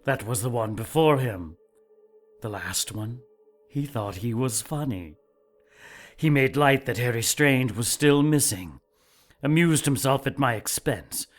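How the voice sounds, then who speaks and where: A man playing a cruel, exacting cult master in an audio drama, speaking in a measured, formal, threatening way. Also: mid-range voice, expressive, soft background music, natural voice. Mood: coldly menacing.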